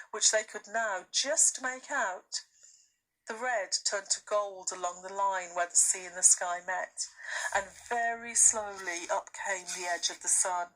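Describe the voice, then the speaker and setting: Female storybook reader, slow and expressive, bright tone. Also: medium pitch, low-quality recording. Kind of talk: expressive scripted story narration.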